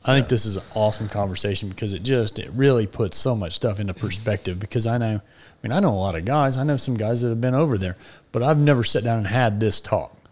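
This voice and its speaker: Fast-talking earnest male co-host. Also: lowish voice, darkish tone. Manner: earnest, openly appreciative. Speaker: podcast co-host. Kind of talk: casual interview chat.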